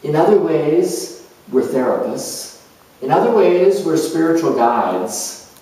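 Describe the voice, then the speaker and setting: A man playing an earnest, self-assured spiritual coach in a dramatized monologue, reflective and halting, with deliberate pauses. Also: fairly high pitch, very animated, dark tone. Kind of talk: dramatized monologue as if answering an interview. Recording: distant mic in very echoey room.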